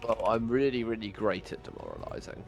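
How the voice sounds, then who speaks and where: A man's voice: on the low side, brisk, creaky, a little mellow, soft background music. A man playing a tabletop game, bantering casually with swearing and dry self-deprecation.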